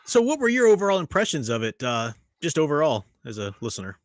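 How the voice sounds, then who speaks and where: Fast-talking male podcast host. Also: a little high, big pitch swings, dry recording, studio quality. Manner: quick, chatty, greets live chat viewers. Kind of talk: casual review chat.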